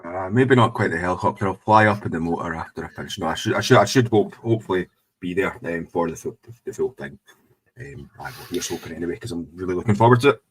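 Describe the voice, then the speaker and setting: A man co-hosting a podcast in relaxed chat, speaking hesitantly with ums over a remote call. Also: low-pitched, quick pace, rough recording. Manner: laid-back, hesitant with ums. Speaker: podcast co-host.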